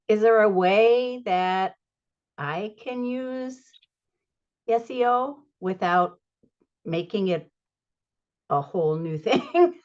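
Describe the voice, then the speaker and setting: A woman in her sixties asking a webinar question in a measured way with pauses, on dull video-call audio. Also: medium pitch. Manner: measured, deliberate, pausing between phrases. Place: cluttered room at home.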